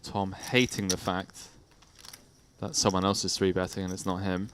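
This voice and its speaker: A man with a low voice calls the action on a live poker broadcast, brisk and analytical, with a faint hiss behind him. He talks through hands and stack sizes in a chatty flow. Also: steady tone. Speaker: poker commentator. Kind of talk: live play-by-play commentary.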